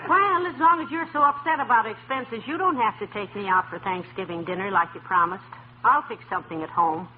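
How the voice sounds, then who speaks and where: A woman's voice: mid-range voice, brisk, natural voice. Woman acting wry middle-aged housewife, affectionate dry teasing, old-time radio comedy, tinny band, slight hiss. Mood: sly teasing. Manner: soothing, dryly teasing, affectionate. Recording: thin old-time radio recording, faint noise.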